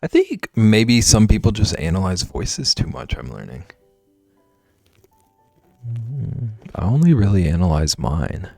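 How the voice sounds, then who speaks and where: A man with a very low, gravelly voice that swings widely up and down as he jokes with his stream. He is recorded close and dry, with faint music underneath. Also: big pitch swings, measured pace, long pauses, a little mellow, dry recording. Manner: playful, jokey and chatty. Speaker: livestreamer. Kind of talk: casual chat with viewers while drawing.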